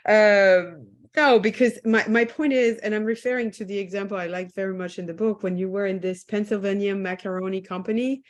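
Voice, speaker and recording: Female interviewer from France, French accent, mid pitch, apologetic and giggly on a video call. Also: fairly even intonation, a little fast. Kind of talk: interview conversation.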